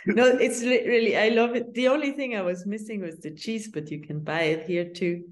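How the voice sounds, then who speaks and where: A Swiss woman whose first language is Swiss German, speaking English with a Swiss German accent as a guest journalist in a casual interview, thoughtful and hesitant with many pauses. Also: medium pitch. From Switzerland.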